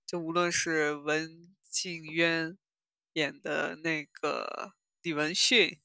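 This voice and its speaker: A woman's voice: low-pitched, level tone, slow, vocal fry, pushed and bright, no room sound, studio quality. A woman hosting a podcast in casual fan chat, swooning and emphatic as she talks. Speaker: podcast host. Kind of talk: casual fan chat about audio dramas.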